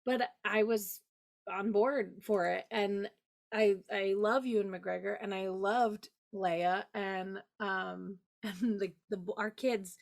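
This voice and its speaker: A woman chatting casually as a panelist, expressive and emphatic, acting out little quoted reactions. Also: mid-range voice, takes it slowly, creaky. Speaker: podcast panelist. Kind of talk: casual chat.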